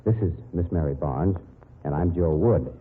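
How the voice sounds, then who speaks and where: A low-voiced, wisecracking detective from an old radio mystery, blunt and breezy with a big heart. His delivery swings freely up and down, and the recording is muffled and hissy. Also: expressive, normal speed, slightly rough. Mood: gently breezy. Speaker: radio drama lead actor. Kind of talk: old-time radio detective drama acting.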